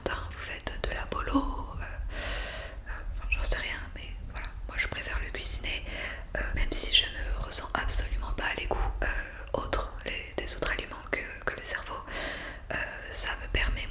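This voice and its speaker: Woman, whispered ASMR roleplay, crisp bright sibilance, polite hesitant delivery, close mic. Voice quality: breathy, unvoiced whisper. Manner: hesitant, improvised explaining with polite formal address. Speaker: ASMR roleplayer playing a zombie intake worker. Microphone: close USB condenser microphone.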